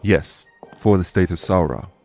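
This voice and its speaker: A man playing a courteous former king turned fae official in an audio roleplay, speaking formally and with measured reassurance. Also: low voice, gravelly, warm tone, faint music behind. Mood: reserved, matter-of-fact. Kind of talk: audio roleplay spoken to the listener.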